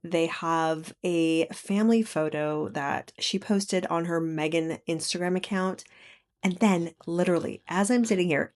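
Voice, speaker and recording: A woman with a very low voice talks straight into a microphone while lying in bed at home. Her throat is sore, so her voice comes out scratchy and froggy. She chats casually with her viewers, turning indignant as she vents. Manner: chatty and indignant. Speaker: channel host. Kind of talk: casual commentary vlog. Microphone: microphone with laptop.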